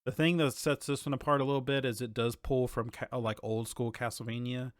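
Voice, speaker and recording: Male podcast co-host, mid-pitched, casual halting chat with some swearing, very dry close sound. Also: level tone, no room sound.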